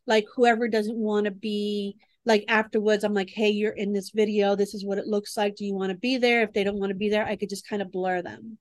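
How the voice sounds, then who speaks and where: A woman's voice: medium pitch, level tone, a little fast, few pauses. A woman in her forties co-hosting a podcast, chatting casually and often searching for her words. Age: 40s. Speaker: podcast co-host. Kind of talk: casual chat.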